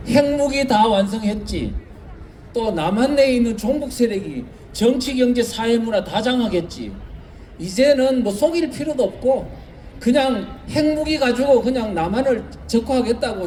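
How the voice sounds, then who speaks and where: High-pitched man with mellow voice, rallying. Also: slight background noise. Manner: rhetorical questions, urgent and forceful argument. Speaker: professor, rally panelist. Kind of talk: impassioned rally speech. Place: outdoor street rally.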